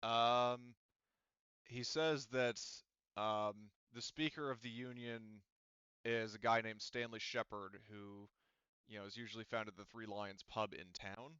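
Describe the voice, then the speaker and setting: A man with a fairly low, clear and smooth voice narrates a tabletop roleplaying game as game master. His delivery is casual and halting as he improvises. The sound is dry and slightly dull. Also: steady tone, pushed and bright, no room sound. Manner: hesitant, casual, relaying character speech indirectly.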